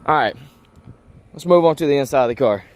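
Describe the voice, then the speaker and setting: A man giving a casual, unscripted show-and-tell vlog, informal and thinking out loud as he goes. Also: medium pitch, animated, brisk. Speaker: car vlogger.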